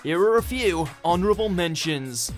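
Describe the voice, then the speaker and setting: This is a man with a notably high voice for a male speaker. He delivers scripted narration in the manner of a countdown video narrator. Faint music plays behind his words. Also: lively intonation, normal speed.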